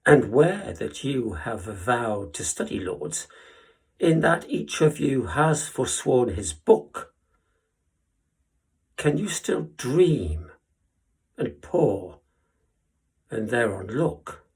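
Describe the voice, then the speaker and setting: An actor playing a witty, impassioned young lord in a theatrical verse monologue, declaiming with deliberate pauses and swelling emphasis. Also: male voice, medium pitch, big pitch swings, slightly rough, full character voice. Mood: challenging, rousing. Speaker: Shakespearean actor performing a monologue.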